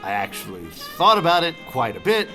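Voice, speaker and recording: A man's voice: on the high side, sing-song, brisk, bright, forceful tone, faint music behind, full character voice. A male actor playing a roguish middle-aged ship captain in an audio drama, with dry, bantering, slightly hesitant delivery. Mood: wry, evasive. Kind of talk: naturalistic audio drama acting.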